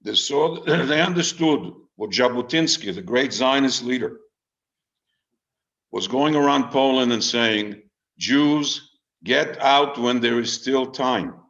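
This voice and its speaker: A man in his eighties from Israel, a retired rabbi, speaking informally and deliberately to an online group, with storytelling pauses and repeated phrases. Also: mid-range voice, fairly bright tone. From Israel, born in Haifa under British Mandate Palestine. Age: 80s. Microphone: video call microphone. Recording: dull, lo-fi video call audio.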